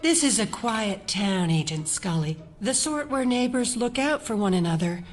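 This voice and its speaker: Older small-town mystic, played by an actress: unhurried, cryptic, quietly unsettling audio drama woman, medium pitch. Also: expressive, faint music behind, lightly acted. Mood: knowing, hospitable. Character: eerily calm gray-haired small-town neighbor woman who reads tea leaves.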